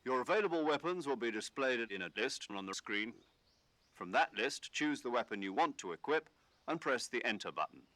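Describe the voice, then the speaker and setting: Bright-voiced, authoritative military commander. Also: male voice, medium pitch, animated, dry recording. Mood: instructive. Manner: measured, instructive, authoritative. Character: male military commander briefing an agent, calm and authoritative. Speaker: mission commander giving radio briefing. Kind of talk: scripted video game voice acting.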